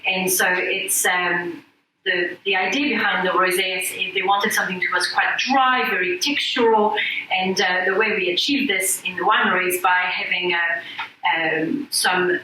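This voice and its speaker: Female winemaker from France, thoughtful casual delivery, lower pitch, echoey far-off pickup. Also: steady tone, a little slow, pushed and bright, a little hiss or hum. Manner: thoughtful, many ums. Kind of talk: casual talk at a gallery event. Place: gallery room.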